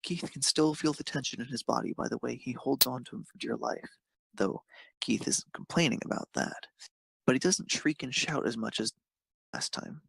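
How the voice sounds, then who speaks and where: A man's voice: mid-range voice, normal speed, creaky, a bit bright, slightly rough, poor audio. A man narrating a piece of fan fiction, reading it aloud.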